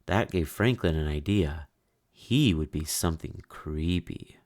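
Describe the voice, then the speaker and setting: A man reading a children's picture book aloud with slow, expressive storybook delivery. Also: extremely low pitch. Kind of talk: read-aloud storybook narration.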